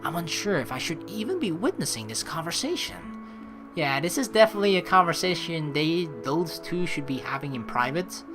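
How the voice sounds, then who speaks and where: A woman gives casual game commentary and reads narration aloud. Her low-pitched voice is lively and expressive, with chatty, sweary phrasing. Faint music sits underneath. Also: brisk. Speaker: let's player.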